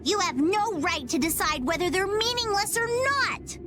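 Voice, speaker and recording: Very high, plucky youngster. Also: female voice, animated, normal speed, fairly bright tone, a little hiss or hum. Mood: indignant. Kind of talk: video game voice acting.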